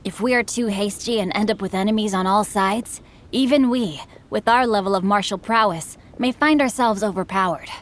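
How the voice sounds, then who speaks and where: A woman's voice: mid-range voice, moderate pace, close to an everyday voice. A woman voicing a refined, bookish teenage boy in a video game, flowery, composed and articulate, with faint noise behind. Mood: composed, playful. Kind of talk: video game dialogue acting.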